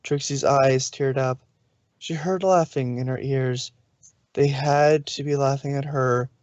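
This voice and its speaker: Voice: mid-range voice, fairly even intonation, a little slow, darkish tone, dry recording, dull audio. A narrator reading a story aloud in a storybook style, sometimes stumbling over a word and correcting it. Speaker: narrator, fan fiction reader.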